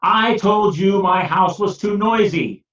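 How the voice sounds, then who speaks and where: A frazzled villager at his wits' end, whining high and loud about every creak and squeak, as a storyteller puts him on. His lines climb up in pitch. The echoey, far-off recording sounds dull. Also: lively intonation, drawn-out words, exaggerated voice. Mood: exasperated. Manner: complaining, wailing at the end of his patience. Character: fretful grown man fed up with household noise.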